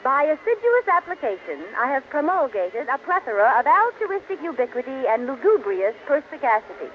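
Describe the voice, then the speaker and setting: An eager, dizzy housewife from a 1940s radio comedy, putting on grand airs with big words. Her voice is high and leaps about theatrically. The recording is muffled, with a light hiss. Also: big pitch swings, fairly quick. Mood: eager, proud. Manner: theatrical, pretentiously using misused big words. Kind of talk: 1940s radio sitcom acting. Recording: muffled, hissy old radio broadcast.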